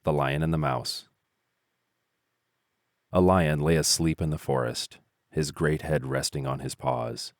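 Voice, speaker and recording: A man narrating fables in an expressive, measured storytelling style. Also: very deep voice, no echo, clean studio sound.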